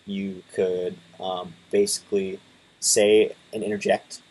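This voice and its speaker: Young man, low husky voice, casual coding tutorial, relaxed, lots of ums. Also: slow pace, rough recording. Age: 20s. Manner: relaxed, many fillers and restarts. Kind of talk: casual screen-share tutorial.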